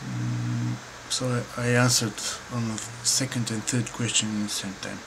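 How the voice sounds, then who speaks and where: A middle-aged man from Bulgaria with a Bulgarian accent, answering questions to camera at home in a slow, halting, thoughtful way with many pauses. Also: on the low side, big pitch swings. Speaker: painter and filmmaker. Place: dim room indoors at home. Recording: clear room noise behind.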